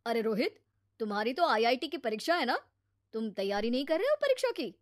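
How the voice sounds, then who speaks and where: Woman as a sensible, fairly high-voiced brother-in-law. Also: big pitch swings, fairly quick, no room sound, clean studio sound. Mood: concerned, advising. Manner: earnest, advising. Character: sensible, patient brother-in-law, a married working man. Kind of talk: animated moral story character acting.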